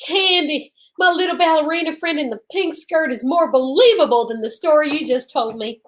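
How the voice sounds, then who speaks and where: A woman's voice: high voice, moderate pace, fairly bright tone. A woman playing an eccentric, tipsy male photographer with a pompous, flowery, theatrical manner in old-time radio style. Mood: theatrical, incredulous. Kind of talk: old-time radio comedy-detective acting.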